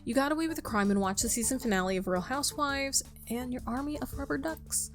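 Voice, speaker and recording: A woman's voice: high-pitched, quick pace. A woman hosting a gaming video, casually commenting and reading game text aloud in a chatty, playful way, close on the mic. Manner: chatty, self-talking, playful. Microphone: close microphone without pop filter. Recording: music behind the voice.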